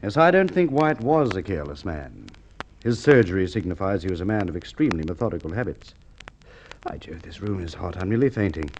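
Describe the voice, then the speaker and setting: A man acting a courteous, methodical police inspector in an old radio crime drama, with polite, measured, probing speech. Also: low voice, very animated, a little mellow. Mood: feigned fluster. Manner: polite, measured, hesitant probing with formal phrasing. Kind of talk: Golden Age Australian radio drama acting. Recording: muffled, noisy old radio recording with clicks.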